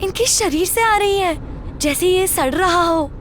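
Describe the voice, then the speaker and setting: A woman's voice: very high-pitched, unhurried, fairly bright tone, a little hiss or hum, voice pushed up. A woman voicing a jumpy, indignant young female traveller in a horror story, exclaiming and complaining. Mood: disgusted. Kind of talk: audio horror story acting.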